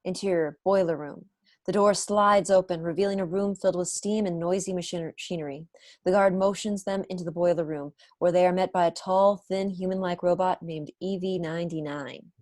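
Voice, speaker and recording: A young woman narrates a screenplay from home on a video call. Her voice sits fairly low, and the sound is dry. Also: fairly even intonation, brisk, a little mellow, no echo. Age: young adult. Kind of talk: scripted narration, reading stage directions.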